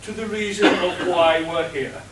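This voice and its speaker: A man playing a long-suffering butler in an amateur radio play, formal and deadpan. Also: sits high, unhurried. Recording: roomy, distant, audible hiss.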